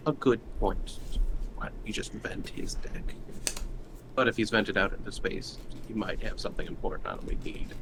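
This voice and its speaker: A man's voice: lowish voice, animated, moderate pace, vocal fry, noisy background. A man talking casually as a player in a tabletop roleplaying game. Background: music.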